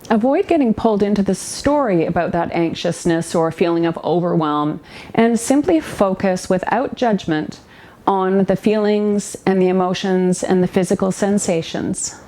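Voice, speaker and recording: A woman leading a guided yoga nidra meditation as a relaxation guide, giving soothing, gentle instructions with pauses between phrases. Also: medium pitch.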